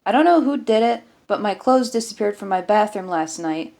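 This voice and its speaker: A woman's voice: medium pitch, animated, normal speed, vocal fry, darkish tone. A woman voicing an irritable male military sergeant in an audiobook story, sweary, indignant and commanding. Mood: stern, annoyed.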